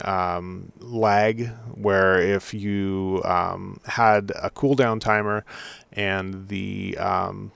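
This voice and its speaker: A slightly hoarse man, a game add-on developer, walking through a software update in a halting, technical way that circles back mid-sentence. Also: lowish voice, no room sound. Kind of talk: screen-recorded software walkthrough.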